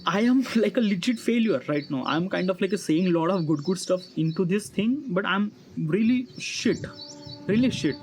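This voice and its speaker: A man in his early thirties with an Indian accent, giving an unscripted personal monologue to viewers in a halting, repetitive, filler-heavy way. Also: high voice, expressive, darkish tone. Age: early 30s. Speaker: vlogger. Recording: noisy ambience with birdsong. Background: chirping birds, ambient noise.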